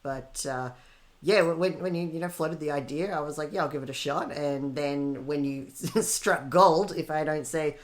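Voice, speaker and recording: A man's voice: a little high, normal speed. A man with an Australian accent, chatting informally as a voice actor being interviewed. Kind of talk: casual chat.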